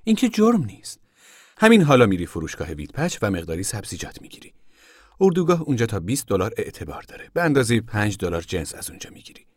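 A man voicing a stern, indignant committee woman in a story, sharp, scolding and commanding. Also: lowish voice, wildly swooping pitch, no room sound, studio quality. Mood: brisk, ordering.

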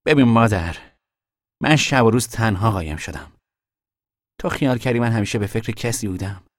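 A brooding young fugitive speaks earnestly and a little stubbornly, his voice on the low side, as an actor plays him. It sounds dry and close, with no room echo, in an audio drama. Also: animated, lightly acted. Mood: reflective.

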